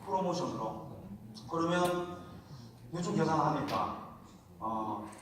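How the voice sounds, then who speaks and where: A man with a regional Korean accent lectures at a seminar in a folksy, conversational way, checking in with the audience as he goes. His voice sits a little high. The recording comes from a large, very echoey hall, picked up from some distance with faint background hiss. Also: expressive, takes it slowly, a bit bright. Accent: Korean regional dialect. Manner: folksy, chatty, asks the audience for replies. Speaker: seminar speaker.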